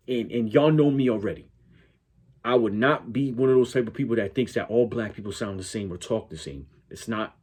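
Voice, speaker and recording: A man's voice: medium pitch, a little mellow, breathy edge. A man with a southwestern Connecticut accent close to New York's gives casual, opinionated commentary, rambling and stammering as he corrects himself. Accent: southwestern Connecticut, close to New York. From Connecticut, United States. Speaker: YouTube reaction host.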